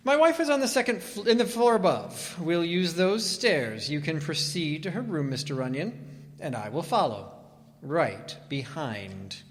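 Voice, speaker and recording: A higher male voice with wide swings echoes in a hall. It is a man acting a stiffly polite, timid-seeming husband with menace underneath, a killer in an old radio mystery. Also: sing-song, unhurried. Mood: coldly polite. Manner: prim, formal, stiffly polite. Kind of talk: old-time radio detective drama. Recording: reverberant hall.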